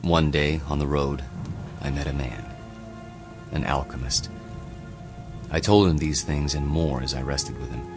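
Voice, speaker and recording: An actor playing a world-weary immortal history professor in a radio drama, speaking like a reflective, lecturing storyteller. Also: male voice, extremely low pitch.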